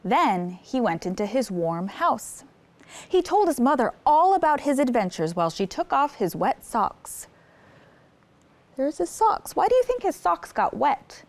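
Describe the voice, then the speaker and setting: Lady doing kids story time, kinda high voice, slow and super expressive, asks the little ones questions. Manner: expressive, engages child listeners with simple questions and exclamations. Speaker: children's story time host. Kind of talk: read-aloud picture book storytelling with questions to young listeners.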